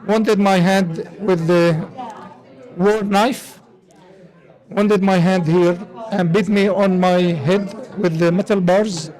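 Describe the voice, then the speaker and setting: A man with a Syrian accent giving personal testimony in halting English. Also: high-pitched, steady tone, a little mellow, low-quality recording. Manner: halting, frequent "you know". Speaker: interviewee, asylum seeker.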